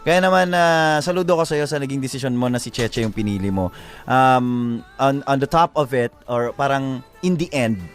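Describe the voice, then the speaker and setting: A man's voice: mid-range voice, normal speed. A Filipino man co-hosting a live show, chatting casually and giving earnest love advice, repeating phrases for emphasis. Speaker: radio show co-host giving advice to letter senders.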